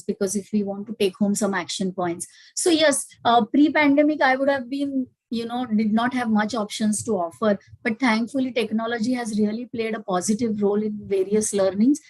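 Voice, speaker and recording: A woman's voice: a little high, no echo, rough recording. A woman with an Indian English accent giving a webinar lecture and answering questions, explaining steadily with frequent fillers. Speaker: guest speaker. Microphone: video call.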